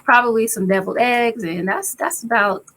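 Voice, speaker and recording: A woman with a raspy voice co-hosting a casual live spiritual chat, relaxed and chatty. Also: a little high, moderate pace, background noise. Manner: relaxed, chatty, often laughing.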